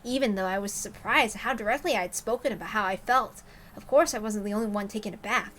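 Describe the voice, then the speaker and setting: A woman narrating a visual novel aloud in an expressive style, with casual asides. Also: mid-range voice, fast talker, a little hiss or hum.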